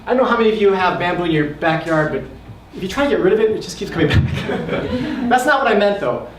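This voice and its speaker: A man's voice: sits high, lively intonation, fast. A man hosting an event, presenting to an audience in an upbeat, encouraging way and laughing often. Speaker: event host. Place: event hall. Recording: echoey hall, distant mic, faint noise.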